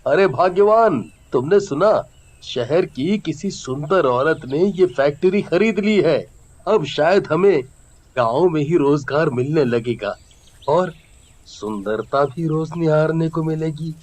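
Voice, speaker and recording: Earnest village labourer for a cartoon, played by a man: plain-spoken, high pitch, slow pacing. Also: lively intonation, darkish tone. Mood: chatty. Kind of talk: cartoon story dialogue.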